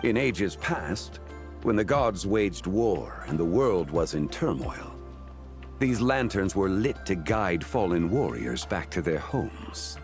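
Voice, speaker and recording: Game role: dignified, scholarly old god. Low, richly inflected delivery, formal and measured, grave authority, faint music bed. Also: male voice, big pitch swings, full character voice. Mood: calm, instructive. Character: ancient male earth god, composed, grave, scholarly. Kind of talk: scripted video game dialogue.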